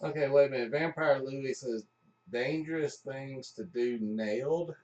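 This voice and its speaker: A man's voice: medium pitch, measured pace, a little mellow, far from the mic, dull audio. A man hosting a live stream with casual, jokey banter and storytelling.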